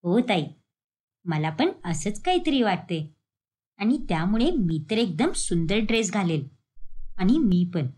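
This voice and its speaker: A woman's voice: mid-range voice, sing-song, unhurried, long pauses, darkish tone, slightly rough, voice pushed down. A woman voicing a naive, earnest young village daughter-in-law in a narrated cartoon moral story. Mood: excited, vain.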